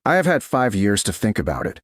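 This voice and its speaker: A man voicing a calm, resolute and gently wry servant woman in an audiobook romance, speaking in a measured, reasonable way. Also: on the low side, no room sound, studio quality.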